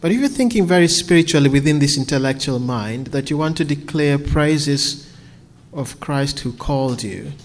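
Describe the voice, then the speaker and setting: A man's voice: on the high side, lively intonation, normal speed. A man from Kenya, preaching earnestly and then answering questions, often repeating words as he restarts. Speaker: visiting preacher.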